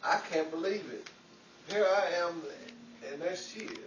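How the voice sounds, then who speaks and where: A man's voice: high-pitched, steady tone, moderate pace, crowd noise, natural voice. A man playing a flighty, dim, cheerful singer in an old-time radio comedy. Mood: dreamy, dim. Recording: dull, echoey, distant mic, loud noise.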